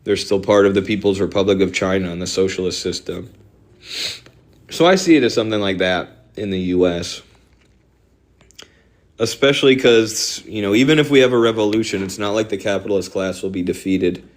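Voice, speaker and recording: Midwestern man, lowish voice, streamer, rambling and sardonic. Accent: Midwestern American. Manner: rambling, fast-shifting, sardonic. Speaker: political streamer. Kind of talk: casual live-stream political talk.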